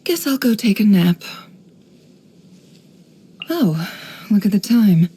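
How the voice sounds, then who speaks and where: An actress voicing a sly, composed young woman, an intelligence broker in a video game, speaking in a measured, coolly knowing, teasing way. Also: medium pitch, very animated, warm tone, breathy edge, full character voice. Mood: relaxed, languid. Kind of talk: video game character voice lines.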